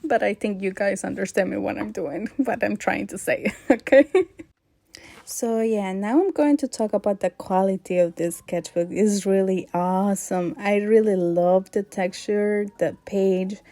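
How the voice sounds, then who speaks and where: Woman w an accent, slow and mellow, lots of uhs, chatty art vlog vibe. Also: mid-range voice, gravelly, dry recording. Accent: non-native English accent. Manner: hesitant, many fillers, soft laughs. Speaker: art vlogger. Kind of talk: casual sketchbook vlog chat.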